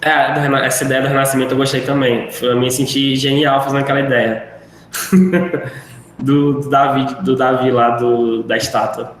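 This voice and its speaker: A man's voice: mid-range voice, little pitch movement, moderate pace. A male teacher wrapping up a live online class in a chatty, rambling way. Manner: rambling, chatty, self-deprecating. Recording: very echoey room, faint noise.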